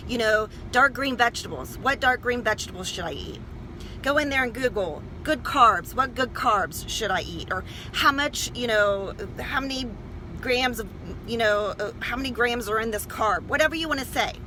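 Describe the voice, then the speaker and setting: A woman's voice: on the high side, pushed and bright, slight background noise, rough recording. A woman health coach talking informally and emphatically to her livestream viewers, often checking in with short questions.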